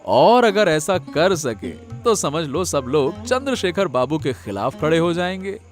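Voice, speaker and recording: Man acting an earnest, animated village samosa seller. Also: on the high side, measured pace, faint music behind. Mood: scheming, hopeful. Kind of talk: Hindi moral-story cartoon voice acting.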